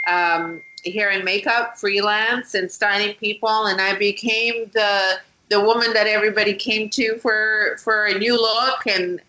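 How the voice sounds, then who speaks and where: A woman being interviewed, telling her story in an animated, chatty way. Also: medium pitch, a little hiss or hum, rough recording. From Canada. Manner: animated, chatty, with frequent restarts and laughs. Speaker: guest, matchmaker.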